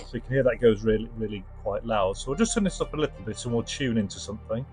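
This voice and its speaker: A man's voice: on the low side, animated, quick pace, over loud music. A man giving a casual product demo and review, explaining step by step in a hesitant, unscripted way. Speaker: presenter of a vintage radio restoration channel. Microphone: microphone set up for the demo.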